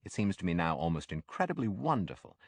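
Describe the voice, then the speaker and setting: A man with an extremely deep voice narrates classic fiction in a dry, echo-free recording. His delivery is measured and expressive, in the manner of a practised audiobook storyteller reading scripted literary prose. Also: breathy edge.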